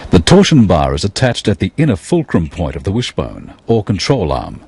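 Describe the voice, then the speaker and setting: A man giving scripted technical narration in a measured, explanatory way. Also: low voice, wildly swooping pitch, creaky, a little mellow, slightly rough.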